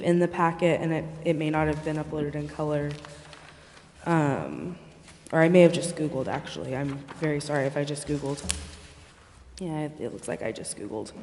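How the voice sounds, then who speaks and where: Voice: mid-range voice, darkish tone, slight background noise. A city staff member answering questions at a public meeting, hesitant and apologetic, in an echoey meeting hall. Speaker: staff member presenting bids to a city council. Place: council chamber, indoors.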